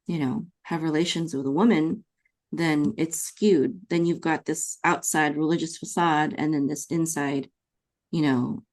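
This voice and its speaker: A woman's voice: low voice, a little mellow. A woman with a Californian American accent hosting a conversational podcast interview, explaining her opinions. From California, United States, born in the Philippines. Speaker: podcast host.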